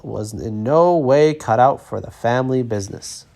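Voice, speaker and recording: A man narrating a children's story as an expressive read-aloud. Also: mid-range voice, moderate pace, gravelly.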